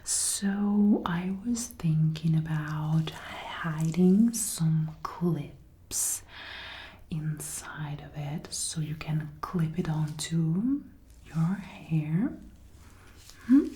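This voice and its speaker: A woman doing a gentle, attentive ASMR roleplay as an outfit designer, soft-spoken and often whispering, breathy and close to a binaural mic. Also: low-pitched, very slow, roomy sound. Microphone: close binaural microphone, ear to ear.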